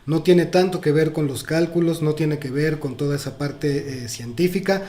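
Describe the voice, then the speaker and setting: Earnest man, mellow voice. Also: on the high side, moderate pace. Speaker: podcast co-host. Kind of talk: casual panel discussion.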